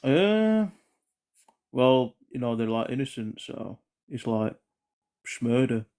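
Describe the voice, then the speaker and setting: A man, calling in with relaxed, hesitant slang-filled talk over a phone line. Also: medium pitch, slow pace. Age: 20s. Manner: laid-back slang, hesitant. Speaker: caller. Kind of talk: casual call-in chat.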